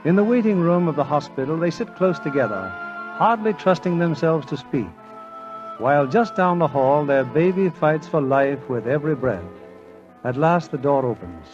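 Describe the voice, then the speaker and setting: A man's voice: fairly high pitch, animated, moderate pace. An American man hosting and narrating a 1940s radio drama in a solemn, scripted style. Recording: dull vintage radio broadcast with music.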